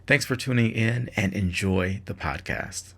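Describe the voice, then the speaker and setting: Male podcast host, lowish voice, upbeat and enthusiastic scripted welcome. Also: measured pace, fairly bright tone, breathy edge. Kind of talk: scripted show opening.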